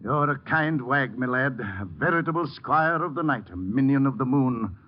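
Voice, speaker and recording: A man's voice: medium pitch, animated, normal speed, lightly acted. An actor playing a bitter, down-and-out theatrical man in an old radio drama, mournful and florid in his delivery. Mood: bitterly poetic. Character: broken, bitter, grandiloquent theatrical man fallen on hard times. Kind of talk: 1940s-50s radio drama acting. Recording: dull, faintly noisy old radio recording.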